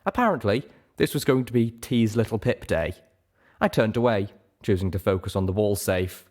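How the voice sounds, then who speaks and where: A man with a low voice narrates fiction. His scripted reading is expressive, with very lively intonation. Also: normal speed. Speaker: audiobook reader.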